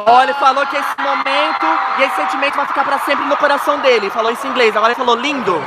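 A man with a Brazilian accent, filming a concert on his phone and excitedly telling his viewers what is happening, often shouting and laughing. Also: very high voice, very fast, over loud music. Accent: Brazilian Portuguese. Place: huge outdoor stadium, in the crowd. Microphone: handheld phone. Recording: phone in a loud stadium crowd.

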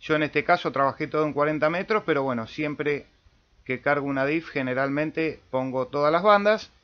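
A man with an Argentine accent giving a casual step-by-step software tutorial as host, with small check-in tags. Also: fairly high pitch, normal speed. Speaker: amateur radio tutorial host. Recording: dull lo-fi voice-over recording.

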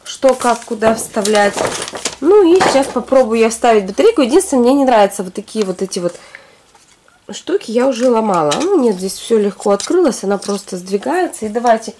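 A woman in her thirties from Russia, hoarse from a cold, chatting casually through a shopping haul at home. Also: a little high, expressive, fairly quick, mellow, a little hiss or hum. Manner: chatty, rambling, talks to herself. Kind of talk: casual product haul chat. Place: home, recording products on a fluffy rug.

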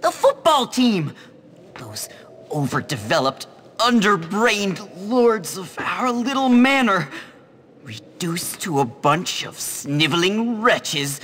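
A melodramatic, self-important teenage nerd in a video game. The voice is high and bright, with slow, grandly wordy phrasing. It rings in a reverberant hall with faint noise. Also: male voice, very animated, slightly rough, heavily acted. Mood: grandiose scheming. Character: scheming, self-important, panicky nerdy teenage schoolboy. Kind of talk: video game cutscene acting.

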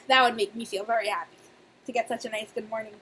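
A young woman, a nursery teacher, reading aloud and talking to young children in simple, expressive speech with emphatic pauses. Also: fairly high pitch, poor audio. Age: young adult. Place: small indoor room. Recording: clear background noise, webcam-style room recording.

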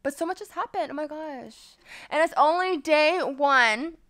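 Bubbly, high-voiced young woman vlogger. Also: a little slow, fairly bright tone. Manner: giddy, chatty, lots of "like" and "literally". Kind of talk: casual travel vlog.

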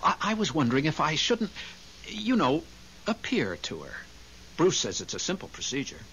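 An earnest ghostly husband in seventies radio drama. His voice is bright and swoops expressively as he stammers and restarts in eager fluster. The recording is old and muffled. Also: on the high side, wildly swooping pitch, normal speed, a little hiss or hum. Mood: earnest, troubled. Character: newly dead husband's spirit, earnest and flustered. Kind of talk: 1970s radio drama acting.

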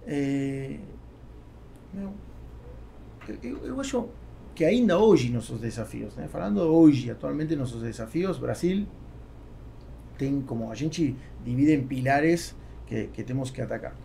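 A man in his forties with a Spanish accent in Portuguese, talking casually and haltingly as a podcast guest. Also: mid-range voice, lively intonation, vocal fry, mellow. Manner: halting, with repeated words. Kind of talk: casual interview talk. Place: small foam-padded podcast studio. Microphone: close studio microphone on boom arm. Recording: faint background noise.

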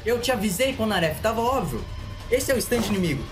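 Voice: mid-range voice, big pitch swings, fast talker, close to an everyday voice. A performer voicing an anxious, serious teenage boy in an anime parody dub, exasperated and dramatic. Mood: urgent scolding. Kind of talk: comic anime parody dubbing. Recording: music under the voice.

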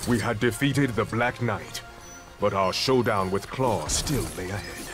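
An actor playing a noble superhero king who narrates his quest in a grave, formal, measured way for a video game. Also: fairly low pitch, close to an everyday voice. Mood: determined. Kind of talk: scripted dramatic game narration. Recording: music behind the voice.

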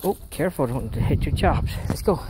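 A man's voice: fairly high pitch, lively intonation, normal speed. A man hiking a rocky mountain slope, talking to his dog in short coaxing phrases, recorded outdoors on a body-worn camera. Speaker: hiker filming his dog. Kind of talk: casual talk to his dog while hiking. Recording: outdoor recording with clear background noise.

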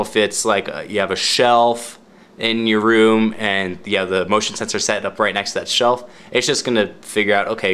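A man's voice: fairly low pitch, fairly bright tone. A man narrating a step-by-step product tutorial in an informal, explanatory way, repeating key points for clarity. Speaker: product demonstrator.

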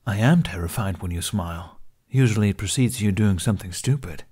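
A man voicing a proud, smug, blunt male dragon with formal, deadpan phrasing in an audiobook. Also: fairly low pitch, fairly quick, breathy edge. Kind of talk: audiobook character acting.

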